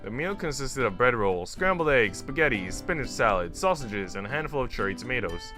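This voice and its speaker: A man's voice: medium pitch, a little fast, music in the background. A man streaming a visual novel, switching between casual slangy chat and reading the story aloud to his viewers. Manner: slangy, animated storytelling in asides. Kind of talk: casual livestream chat mixed with read-aloud narration.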